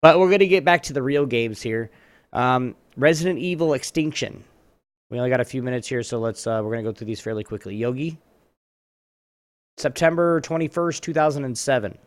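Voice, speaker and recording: Man, mid-pitched voice, casual trivia host, rambling, self-correcting, dry recording. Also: brisk, no room sound. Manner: rambling, repeats and rephrases himself. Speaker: host of a trivia game on a live show. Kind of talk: casual chat, hosting a trivia round.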